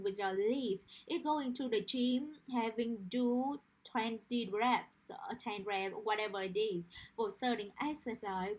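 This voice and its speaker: A woman's voice: medium pitch, slightly rough, poor audio. A woman with a Romanian accent, a native Romanian speaker, teaching English pronunciation in an earnest, explanatory way with everyday examples. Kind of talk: direct-to-camera teaching monologue.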